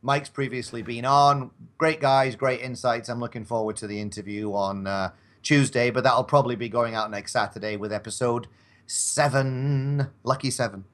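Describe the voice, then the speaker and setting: A man's voice: mid-range voice, steady tone, moderate pace, fairly bright tone. A man with a mid-range voice chats casually as a guest. He rambles a little and banters as he goes. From Scotland. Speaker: podcast guest, audio drama actor. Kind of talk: casual chat.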